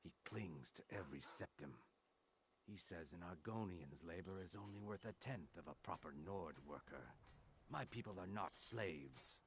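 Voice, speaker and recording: A man's voice: low voice, animated, moderate pace. An actor playing a blunt, bitter male lizardfolk dockworker in a game. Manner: blunt, dry, resentful.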